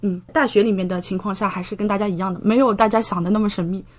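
A woman's voice: mid-range voice, racing. A woman in her thirties from China chatting casually as an interview guest, telling stories vividly and often checking in with the listener. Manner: chatty, animated storyteller who re-enacts speech and checks in with the listener. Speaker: podcast guest, medical doctoral student.

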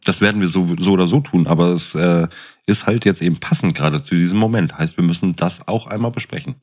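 A man's voice: sits low, fast talker, darkish tone. A man chatting casually as a podcast co-host, explaining and asking probing questions.

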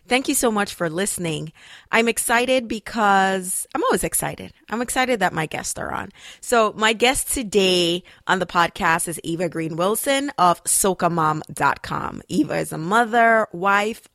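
A woman's voice: medium pitch, a bit bright, no echo, studio quality. A woman hosting a podcast and interviewing a guest, bubbly and enthusiastic, with plenty of casual fillers. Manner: bubbly, enthusiastic, many "you know"s. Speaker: podcast host.

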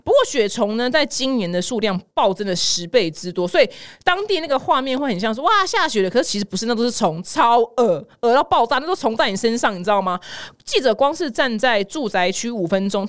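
A woman's voice: a little high, animated, fairly quick. A woman with a Taiwanese Mandarin accent hosting a casual news podcast, exclamatory and dramatic, wandering into personal asides and mixing in English words. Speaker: podcast host.

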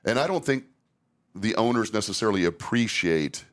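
A man's voice: on the low side, expressive, moderate pace, fairly bright tone. A middle-aged man hosting a casual podcast conversation about safety training, speaking candidly with frequent restarts.

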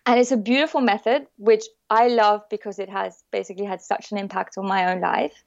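A woman with a French accent, talking as an interview guest. She sounds warm and laughs easily, pausing on fillers as she thinks. The recording is dry and a little dull, as over a video call. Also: medium pitch, steady tone, vocal fry, dry recording. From born in the UK, raised in the south of France. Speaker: guest, bio-neuroemotion practitioner. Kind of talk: casual interview chat.

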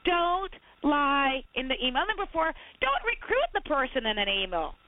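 A woman's voice: high-pitched, sing-song, normal speed, dry recording. A woman from Barbados, a recruiter co-hosting a podcast, arguing emphatically and animatedly over a phone call. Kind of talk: heated podcast debate. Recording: tinny phone line.

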